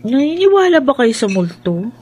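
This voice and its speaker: High-voiced, polite Filipino daughter. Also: female voice, sing-song, moderate pace, darkish tone. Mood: earnest. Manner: respectful, soothing, conversational. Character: polite, reassuring young Filipino schoolgirl daughter. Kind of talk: radio drama acting.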